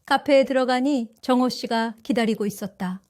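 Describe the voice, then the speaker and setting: Gentle older woman telling her story. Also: fairly high pitch, normal speed, dry recording, studio quality. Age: 70s. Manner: gentle, reflective, wistful. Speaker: first-person narrator. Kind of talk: storytelling narration for an audio drama.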